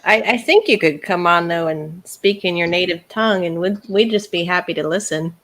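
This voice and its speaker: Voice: mid-range voice, normal speed, a bit bright. A co-host in a casual livestream chat who laughs often and relays comments from the chat.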